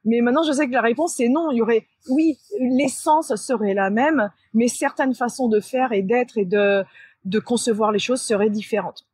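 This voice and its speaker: Higher-pitched, husky woman chatting. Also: a little mellow, dry recording. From France. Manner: conversational, explanatory, halting with frequent self-corrections. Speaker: channel host and spiritual coach. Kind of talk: casual vlog talk to viewers. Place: outdoors beside a large tree near a road.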